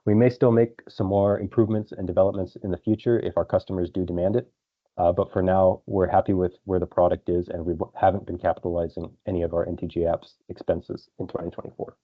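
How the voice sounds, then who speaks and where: Very deep-voiced male executive, dull audio. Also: level tone, fast talker. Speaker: company executive presenting results. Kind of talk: prepared financial presentation on an earnings call. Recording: dull lo-fi conference call audio.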